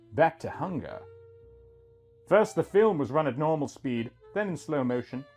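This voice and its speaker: A man reads a scripted first-person story as its narrator. His voice sits somewhat high and moves up and down in a very animated way. Music plays faintly underneath him. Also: husky.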